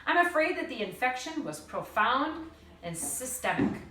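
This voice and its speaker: A woman's voice: high voice, very animated, moderate pace, bright, forceful tone, voice pushed up, exaggerated voice. A woman voicing a small, nervous male dentist with a formal, grave manner in a storybook. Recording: roomy, distant mic, faint noise.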